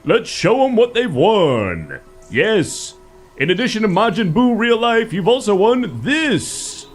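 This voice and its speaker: A man's voice: sits high, big pitch swings, slow pace, faint music behind. A man hosting a live improv comedy game show in a mock-grand announcer manner, often laughing through his lines.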